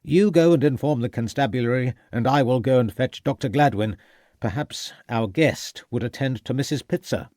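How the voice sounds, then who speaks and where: A man's voice: mid-range voice, moderate pace, warm tone, no room sound, clean studio sound, natural voice. A man voicing an irritable, curt schoolmaster in an audiobook mystery. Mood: irritated. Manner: curt, testy. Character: irritable schoolmaster, middle-aged.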